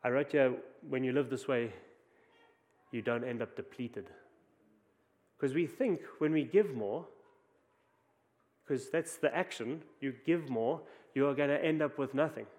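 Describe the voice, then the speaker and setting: A man's voice: mid-range voice, unhurried, pauses often, a little mellow. A man with a South African accent, preaching a conversational sermon with frequent restarts. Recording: long hall reverb.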